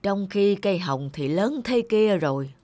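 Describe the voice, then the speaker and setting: Gentle, doting old grandfather played by an actor. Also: medium pitch, expressive, measured pace, no echo, natural voice. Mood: wistful, stubborn. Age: older. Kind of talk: animated film dialogue. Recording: music under the dialogue.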